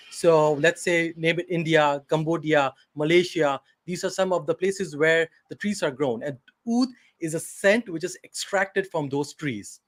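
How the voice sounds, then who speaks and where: A man from Pakistan, a company CEO, answering interview questions hesitantly over a video call, with many fillers and restarts. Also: fairly high pitch.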